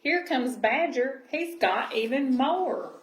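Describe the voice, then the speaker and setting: A woman's voice: high voice, animated, takes it slowly, voice pushed up. A woman voicing a friendly, eager storybook hare in a cheery, hailing way. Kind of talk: storybook character reading. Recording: roomy echo, clear background noise.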